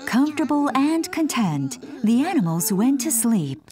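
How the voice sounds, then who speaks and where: A woman's voice: on the high side, big pitch swings, moderate pace, dark tone. A woman narrating a scripted children's bedtime story. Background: music.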